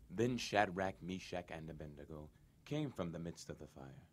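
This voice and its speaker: A man narrating a dramatized scripture reading in a measured, solemn manner. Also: low voice, animated, breathy edge.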